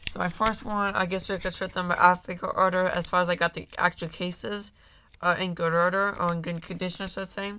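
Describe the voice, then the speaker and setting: A man's voice: sits high, level tone, brisk, pushed and bright, smooth. A man with a cold, rambling casually through a video monologue, full of fillers and apologies and constantly addressing viewers as "guys", recorded on a handheld camera at home. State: has a cold. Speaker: YouTuber.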